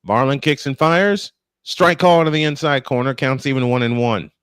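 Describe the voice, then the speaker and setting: A man's voice: mid-range voice, moderate pace, a bit bright, clean tone, no echo. Man calling a baseball game, dry close sound. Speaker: play-by-play announcer and host. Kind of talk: live baseball commentary with chat.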